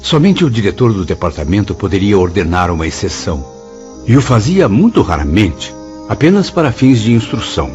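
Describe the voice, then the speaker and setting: Courteous low-voiced apprentice, lively intonation. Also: male voice, brisk. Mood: courteous, reflective. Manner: formal, literary, polite. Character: courteous, curious male apprentice spirit recounting his memories. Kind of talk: radio drama acting and narration. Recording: slightly muffled radio drama with music.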